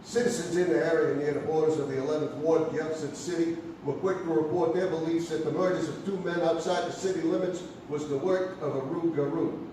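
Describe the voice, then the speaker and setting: A man's voice: a little high, fairly even intonation, a little fast, few pauses, mellow, close to an everyday voice. A man playing a scornful, pompous nineteenth-century reporter reading his report in a theatrical style. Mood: scornful, formal. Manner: pompous, formal. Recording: echoey noisy theatre, far from mic.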